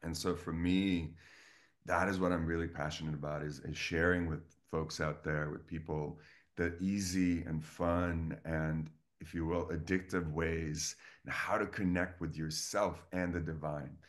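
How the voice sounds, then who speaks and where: A man's voice: low voice, fairly even intonation, a little slow. A man from Estonia, a guest coach, talking earnestly and effusively in a casual interview over a video call. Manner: earnest, effusive, many restarts.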